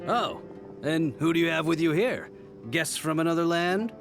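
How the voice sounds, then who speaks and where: A man's voice: a little high, moderate pace, gravelly. A man playing a chatty, jovial desert local at a tavern in a video game, hearty and sociable. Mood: jovial, welcoming. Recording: guitar music behind the voice. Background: guitar game music.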